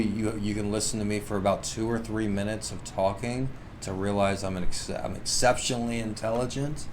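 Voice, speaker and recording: A man hosting a livestream, talking in a casual, rambling, self-assured way. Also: lowish voice. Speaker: livestream host and author. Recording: clearly noisy live stream.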